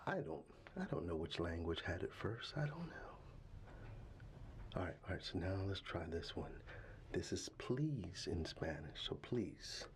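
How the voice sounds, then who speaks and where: Man, very low voice, soft fatherly ASMR whisper, unhurried and halting, close-miked over constant ambient hiss. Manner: soft, half-whispered and tender, with halting restarts. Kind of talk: ASMR roleplay. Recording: steady ambient background noise.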